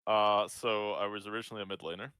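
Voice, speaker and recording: A man's voice: on the low side, steady tone, fast talker, pushed and bright, clear, resonant tone, no room sound. A Scottish man in his twenties, answering interview questions in a laid-back, hesitant way. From Scotland. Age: 20s. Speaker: guest, esports player. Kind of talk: casual interview.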